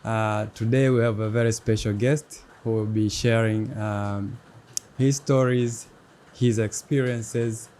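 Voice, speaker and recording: A young man hosting a casual interview show, speaking haltingly and in a friendly way with many pauses. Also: lowish voice, steady tone, a little mellow. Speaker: podcast host. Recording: faint background noise.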